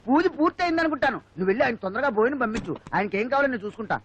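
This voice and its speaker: A woman's voice: fairly high pitch, expressive, racing, a little hiss or hum, close to an everyday voice. An actress playing an anxious, scheming young woman in a Telugu comedy film. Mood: guarded, dutiful. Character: anxious, scheming young woman covering up her brother's charade. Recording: film dialogue under clear music.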